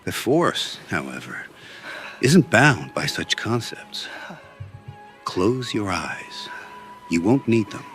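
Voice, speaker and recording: A man playing a calm, wise older Jedi mentor in a fan audio drama, speaking in a measured, instructive way. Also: lowish voice, full character voice. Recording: music under the voice.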